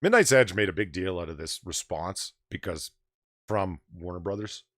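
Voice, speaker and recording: A man hosting a live stream, booming and blunt, swearing freely as he rants through the news. His voice sits low but leaps around in pitch, and the sound is dry and close. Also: animated, normal speed, fairly bright tone, no room sound. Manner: booming, profane, ranting. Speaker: host of a live comics news show. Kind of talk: opinionated live-stream commentary.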